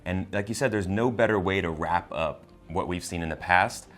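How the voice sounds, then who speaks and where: Male co-host for a chatty movie review. Low voice, halting delivery with repeated words. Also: a bit bright, soft background music. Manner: hesitant, repeats words and phrases as he goes. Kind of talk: casual spoiler review chat.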